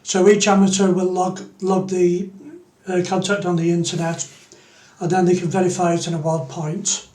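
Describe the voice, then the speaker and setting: High-pitched man presenting a tutorial, roomy sound. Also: little pitch movement, moderate pace, dark tone. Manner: explanatory, step-by-step. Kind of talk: unscripted screen-demo tutorial.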